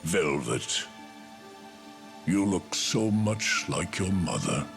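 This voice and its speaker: A man's voice: sits low, animated, slow pace, creaky, exaggerated voice. A man playing a grave, regal older king and father in a fantasy game, speaking solemnly and with command. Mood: grave tenderness. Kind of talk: dramatic fantasy game voice acting. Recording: music behind. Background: music.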